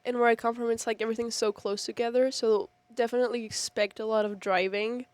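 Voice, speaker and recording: A woman's voice: fairly high pitch, little pitch movement, quick pace, darkish tone, no room sound. A teenage young woman from Spain, chatting casually in an interview. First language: Spanish. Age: teens. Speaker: exchange student being interviewed.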